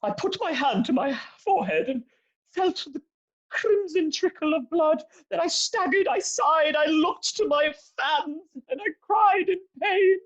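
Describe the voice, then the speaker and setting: A woman's voice: very high voice, animated, measured pace, dull audio. A woman playing a vain, melodramatic actress testifying in a comic courtroom play, haughty and self-dramatising.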